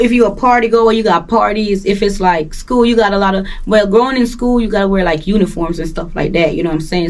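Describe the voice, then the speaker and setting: A woman's voice: medium pitch, distant mic. A young woman from Jamaica with a Jamaican accent, talking casually as an interview guest in a laid-back, slangy, rambling way. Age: young adult. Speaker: guest musician.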